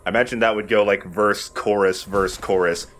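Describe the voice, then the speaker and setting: Low-voiced guy explaining a game. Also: steady tone, normal speed, a bit bright. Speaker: let's play host and player. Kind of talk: casual gameplay commentary. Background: game music.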